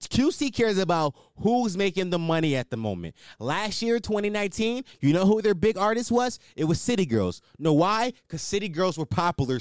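A young man hosting a solo podcast, blunt and opinionated, swearing and riffing off the cuff, with a higher-pitched voice. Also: no echo, clean studio sound. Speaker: podcast host.

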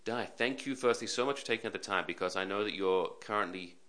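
A man's voice: on the low side, fast talker, bright, forceful tone. A man hosting a podcast interview, asking long, rambling questions with repeated phrases. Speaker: podcast host.

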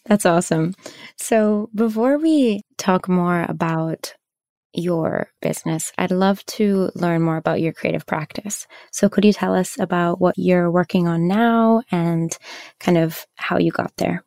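A woman's voice: lowish voice, measured pace, gravelly, darkish tone, dry recording, studio quality. A woman hosting an interview, asking questions in a casual conversational way. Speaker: interviewer, host.

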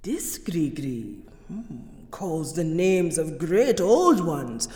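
Slow, imperious voodoo queen in echo. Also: low-pitched, sing-song, a little mellow, exaggerated voice. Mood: solemn, mysterious. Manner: cryptic, imperious, folksy dialect grammar. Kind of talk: radio drama acting. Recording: roomy echo, long reverb, music underneath.

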